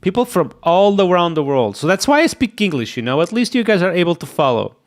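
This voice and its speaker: A man with a Portuguese accent, a native Portuguese speaker, streaming live as he codes. He thinks aloud, muttering to himself and repeating quick agreements as he reads, and he sounds sick. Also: sits high, very animated. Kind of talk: thinking aloud while coding, chatting with viewers.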